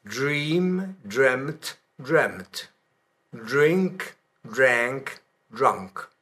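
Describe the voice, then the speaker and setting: A man recites verb forms one at a time at a very slow, deliberate pace. Each word is emphatic and separated, with pauses left for learners to repeat. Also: mid-range voice, lively intonation, bright, forceful tone. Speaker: language course reader. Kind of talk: drilling verb forms for repetition.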